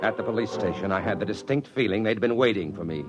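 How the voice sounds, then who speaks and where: A vintage radio recording with music around a man playing an emphatic newspaperman. His low voice moves with lots of swing, in stubborn 1950s drama style. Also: lively intonation, fast, close to an everyday voice. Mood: wry narration. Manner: brash, emphatic, wry when narrating. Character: excitable newspaperman and father, stubborn and indignant. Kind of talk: 1950s radio drama acting and first-person narration.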